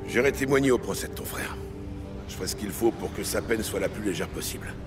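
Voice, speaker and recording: An actor playing a weary, hard-drinking police lieutenant in a French audio drama, gruff, dry and laconic. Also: male voice, fairly low pitch, fast talker, natural voice. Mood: gruff, kind. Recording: loud music behind the voice.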